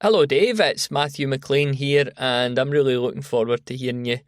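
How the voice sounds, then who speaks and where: A man's voice: mid-range voice, fairly even intonation, normal speed, no room sound, studio quality. A man with a Scottish accent giving an informal, dryly humorous voice message as a podcaster.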